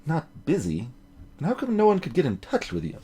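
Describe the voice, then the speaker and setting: A man's voice: on the high side, wildly swooping pitch, fairly quick, dark tone, a little hiss or hum. Man playing a hesitant, earnest young lawyer. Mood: puzzled. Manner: hesitant, stammering, muted inner asides. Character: timid, earnest young male rookie lawyer. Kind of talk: video game character voicing.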